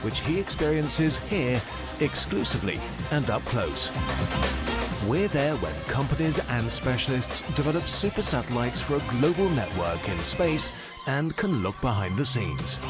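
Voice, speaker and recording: A man's mid-pitched narrator voice with lively, swinging intonation, reading a scripted promo. Loud music plays behind him throughout. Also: moderate pace.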